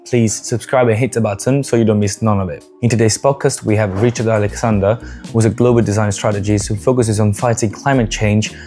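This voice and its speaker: A man's voice: fairly low pitch, steady tone, fairly quick, mellow, soft background music. A man hosting a podcast while cutting a guest's hair, chatty and asking questions, restarting his words often. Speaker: podcast host and barber. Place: barbershop.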